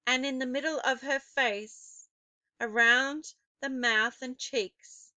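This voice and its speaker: A woman narrating a children's story aloud in an expressive, deliberate and suspenseful way. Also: sits high, no echo. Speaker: teacher reading a children's novel aloud.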